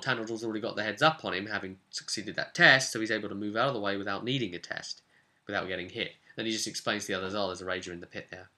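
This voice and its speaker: Bright, forceful low-pitched man telling stories. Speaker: game master recapping a tabletop role-playing session. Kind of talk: informal spoken recap, storytelling.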